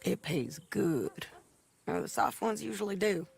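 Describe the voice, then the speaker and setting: An actress playing a hardened frontier bounty hunter who speaks in a blunt, matter-of-fact, plain-spoken way in a video game cutscene. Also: female voice, mid-range voice, lively intonation, measured pace, creaky.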